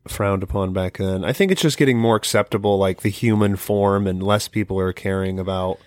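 A man's voice: low voice, steady tone, a little fast, no echo. A young man co-hosting a podcast, chatting casually and rambling through jokes. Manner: joking, rambling. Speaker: podcast co-host. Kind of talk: casual chat.

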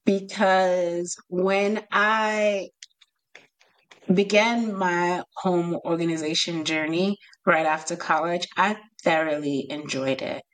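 A woman's voice: fairly low pitch, steady tone, poor audio. A woman with a New York accent, a home organizer as podcast guest, chatting casually and laughing often. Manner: laughs often, talks in bursts with pauses.

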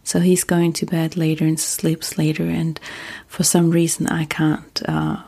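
A woman whose first language is German, talking softly and partly in a whisper, with frequent ums, as host of a sleep podcast. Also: low voice, little pitch movement, measured pace, slightly rough. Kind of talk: soft-spoken casual monologue.

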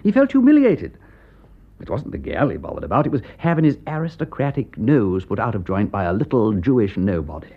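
An actor playing an aristocratic English gentleman detective in a radio drama, with an upper-class English accent and an urbane, emphatic way of talking. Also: lowish voice, sing-song, a little fast. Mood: urgent, persuasive. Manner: urbane, emphatic, drawling question tags. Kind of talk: British radio drama acting. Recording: dull band-limited radio recording.

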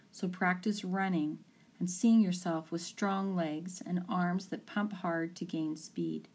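A woman speaking as a sports mental coach, leading a guided imagery session in a calm, deliberate, instructing way. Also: lowish voice. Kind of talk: guided imagery meditation for athletes.

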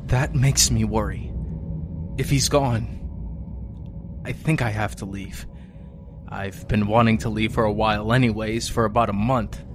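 A man playing a lonely, weary survivor broadcasting after an apocalypse in an audio drama, musing in a halting, understated, resigned way. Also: lowish voice, fairly even intonation, background noise, lightly acted. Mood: lost, resigned. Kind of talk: audio fiction monologue. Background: music.

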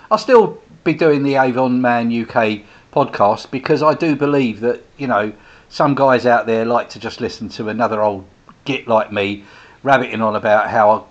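A man's voice: medium pitch, lo-fi sound. A man in his sixties hosting a casual solo podcast, rambling and chatty, often restarting his sentences. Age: 60s. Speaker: podcast host.

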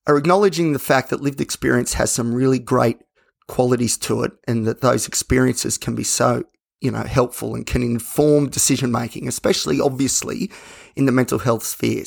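An Australian man with a slightly croaky voice, hosting a solo podcast in a casual, candid, rambling way. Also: medium pitch. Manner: conversational, rambling, self-correcting. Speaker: podcast host.

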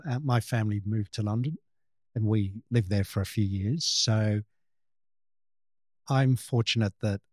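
A man's voice: lowish voice, unhurried, pauses often, no echo, studio quality. A man from Australia, a photographer, chatting casually as an interview guest, rambling and restarting his sentences. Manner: relaxed, rambling with frequent restarts.

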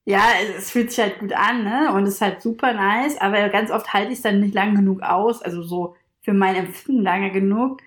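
A woman co-hosting a casual chat podcast, talking in a relaxed, teasing, colloquial way. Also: medium pitch, echoey room. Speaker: podcast co-host.

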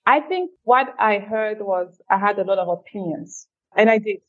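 A woman from Cameroon speaking as an interview guest at a middling pitch. She talks in a thoughtful, measured way, speeding up on side remarks. The audio sounds a little dull and lo-fi. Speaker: guest, feminist leader. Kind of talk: reflective interview answers.

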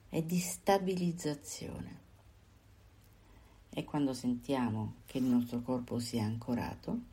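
Slow, low-voiced woman guiding meditation. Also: slightly rough. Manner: calm, unhurried instruction with pauses between short phrases. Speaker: meditation guide. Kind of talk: guided body scan meditation.

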